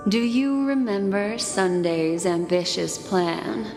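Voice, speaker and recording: An actress playing a poised, mysterious woman guide in a video game, speaking in a measured, intimate and softly teasing way. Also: medium pitch. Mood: composed, intimate. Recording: long reverb, music behind. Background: game music.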